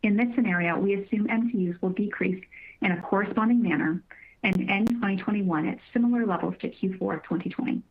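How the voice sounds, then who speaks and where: A woman reading prepared remarks as a company finance executive, in a formal, measured manner with careful wording. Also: mid-range voice, breathy edge. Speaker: company finance executive presenting on an earnings call. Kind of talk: prepared corporate presentation, scripted. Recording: narrow tinny phone-line conference call.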